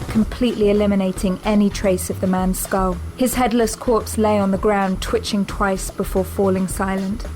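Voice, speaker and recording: A woman giving steady, measured scripted narration for an audio drama. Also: medium pitch, slight background noise, poor audio.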